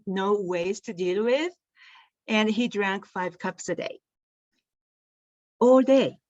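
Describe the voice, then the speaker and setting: A woman's voice: mid-range voice, no room sound, lo-fi sound. A woman from Japan with a Japanese accent, a native Japanese speaker, telling her story earnestly as an interview guest over a video call. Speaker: guest, tea company founder.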